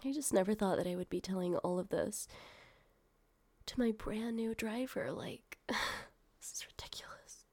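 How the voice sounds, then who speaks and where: Woman playing a guarded girl, husky and hushed. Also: medium pitch, unhurried, no room sound, natural voice. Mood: teasing. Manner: soft, hushed, half-whispered delivery. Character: guarded young woman confiding in her new driver. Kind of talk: one-sided audio roleplay addressing the listener.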